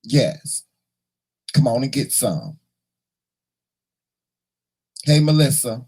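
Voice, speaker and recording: A hoarse man hosting a casual morning livestream, chatting and teasing his viewers in a folksy way. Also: mid-range voice, big pitch swings, drawn-out words, a little mellow. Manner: folksy, teasing, talks to the chat; repeats words and restarts often. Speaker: morning show host.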